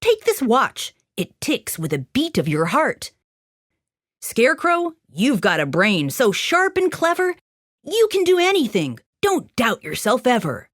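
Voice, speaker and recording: A kindly humbug wizard in a fairy tale, played by a woman. He is generous and reassuring, with animated pitch and a dry, close recording. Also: unhurried, no echo, heavily acted. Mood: encouraging.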